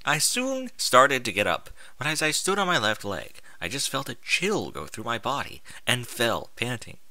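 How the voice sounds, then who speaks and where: A man narrates a first-person story with a very bright, forceful voice. His pitch moves around in a lively way and his delivery is expressive and informal. The sound is dry and close. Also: normal speed, no room sound. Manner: expressive, informal, sweary interjections. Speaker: fan fiction reader.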